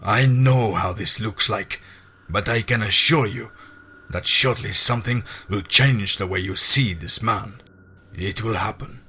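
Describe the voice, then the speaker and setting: A man voicing a solemn lodge master in a spooky story, speaking in a measured, ceremonial, assured way. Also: lowish voice, sharp tone, a little hiss or hum. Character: solemn, commanding male master of a secret lodge.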